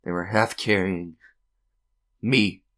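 Casting: tormented, unhinged narrator of radio suspense drama; low voice, slow halting delivery, theatrical intensity, music underneath. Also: male voice, fairly even intonation, a bit bright. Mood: haunted, tense. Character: tormented, unhinged man recounting his own doom.

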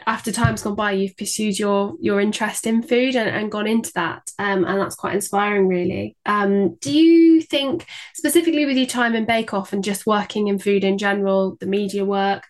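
Interviewer: woman, mid-range voice, warm encouragement, halting phrasing. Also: medium pitch. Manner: encouraging, hesitant with many fillers.

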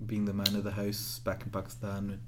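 A man's voice: lowish voice, completely flat delivery, a little fast, noisy background. A man interviewing a guest, asking probing questions that he often restarts. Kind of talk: casual interview.

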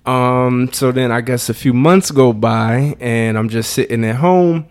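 A man's voice: medium pitch, measured pace, vocal fry, clean tone. A man co-hosting a podcast, talking in a casual, colloquial way as he interviews a guest. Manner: colloquial, informal. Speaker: podcast co-host.

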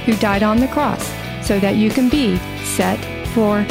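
A woman's voice: mid-range voice, unhurried, vocal fry. A woman reading a scripted devotional introduction as a program announcer. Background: guitar music.

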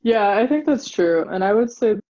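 A man's voice: high-pitched, expressive, moderate pace, darkish tone. Chuckling man, dull lo-fi sound. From United States. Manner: chuckles often, hedges with "maybe" and "kind of". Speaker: interview guest, former exchange student. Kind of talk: conversational interview answers. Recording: dull lo-fi recording.

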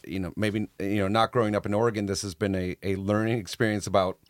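Male interviewer, low register, halting, restarts often while asking questions. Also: little pitch movement, no echo, studio quality. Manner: halting, repeats words while framing questions.

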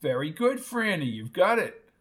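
A man voicing an easygoing team coach who is calm, authoritative and gently teasing. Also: high voice, normal speed, pitched above the performer's own voice.

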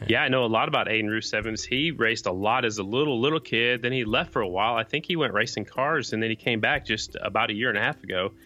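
A man's voice: medium pitch, quick pace, few pauses, bright, forceful tone. A man hosting a casual podcast interview, easygoing and friendly. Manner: friendly, easygoing, says "right on" a lot. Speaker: podcast host.

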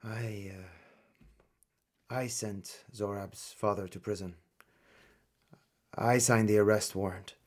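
An actor playing a stern older army colonel in a radio play, measured and authoritative, cold and guarded. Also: male voice, lowish voice, fairly even intonation, a little mellow. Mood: guarded, grave.

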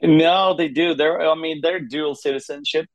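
A man's voice: on the high side, fairly even intonation, moderate pace, no echo. A man in his thirties who grew up in Sweden, answering interview questions casually, with many fillers and restarts. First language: Swedish. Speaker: retired hockey player, guest.

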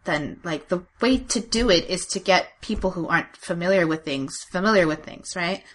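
A woman's voice: lowish voice, normal speed. A woman co-hosting a podcast in casual chat, speaking informally and emphatically in stop-start phrases. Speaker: podcast co-host.

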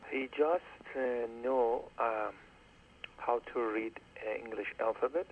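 Hesitant male caller on a phone line. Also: medium pitch. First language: Persian. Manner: halting, many hesitations, polite.

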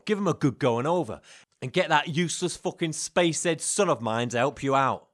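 An actor playing a volatile, foul-mouthed middle-aged gangster boss in a dark comedy crime drama, aggressive and blustering. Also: male voice, fairly high pitch, expressive, normal speed, natural voice. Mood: irritated, menacing.

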